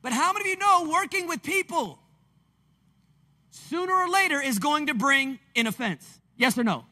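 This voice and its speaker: A man, preaching a lively, conversational sermon on a church stage into a handheld mic. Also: extremely high pitch, moderate pace. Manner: animated, conversational preaching with call-and-response. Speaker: pastor. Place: large church stage with screens. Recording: long reverb of a large hall.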